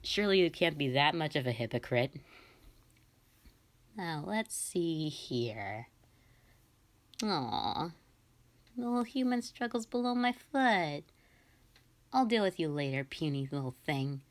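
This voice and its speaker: A woman's voice: low-pitched, sing-song, slow, long pauses, full character voice. A woman voicing a sadistic, vengeful female fox spirit in a scripted audio drama, theatrical and condescending. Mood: mocking, menacing.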